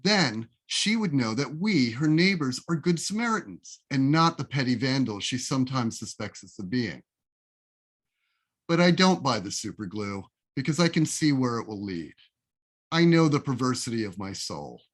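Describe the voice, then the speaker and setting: A man reading his own essay aloud as a literary reading, with a deliberate, wry, expressive storytelling delivery, recorded over a video call. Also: medium pitch. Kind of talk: literary reading, scripted first-person narration.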